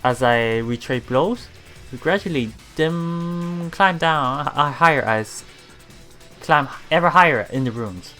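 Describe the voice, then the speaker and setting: Game streamer, mid-pitched voice, halting read-aloud narration, casual commentary, faint music behind. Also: bright, forceful tone. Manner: halting reading, often repeating broken words. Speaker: let's player streamer.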